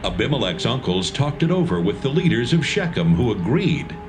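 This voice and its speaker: A man narrating a dramatised Bible reading in a steady, measured storytelling manner. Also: mid-range voice. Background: music score.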